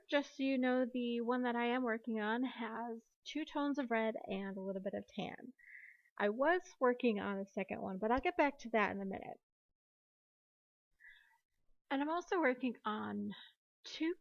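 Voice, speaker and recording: A woman in her thirties hosting her own podcast, talking in a chatty, quick way with frequent apologetic asides. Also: on the high side, gravelly, dry recording, highs cut off. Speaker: podcast host. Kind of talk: casual solo podcast chat.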